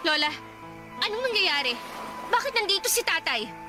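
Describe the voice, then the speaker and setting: An anxious young granddaughter. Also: female voice, extremely high pitch, very animated, normal speed, over music. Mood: worried. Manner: anxious, questioning, emphatic. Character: young woman granddaughter, worried and outspoken.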